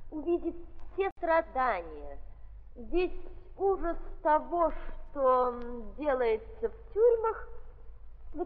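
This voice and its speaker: An actress playing a coquettish, gushing society lady in a theatrical 1930s radio drama, with affected speech sprinkled with French. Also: high-pitched. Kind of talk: 1930s Russian stage radio drama acting. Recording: muffled 1930s recording, hall reverb.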